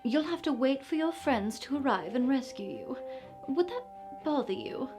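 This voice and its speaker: A woman playing a mockingly polite smuggler boss villainess who taunts a captive with deliberate, condescending menace in a fantasy roleplay. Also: sits high, lively intonation, music in the background. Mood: taunting. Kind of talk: point-of-view fantasy roleplay.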